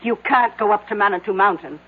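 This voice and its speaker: A woman's voice: medium pitch, quick pace, breathy edge, natural voice. Woman doing loyal worried secretary in old radio drama, respectful but objecting, tinny sound. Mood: worried, protesting. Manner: deferential but protesting. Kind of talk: 1970s radio drama acting. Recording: tinny band-limited old radio, faint hiss.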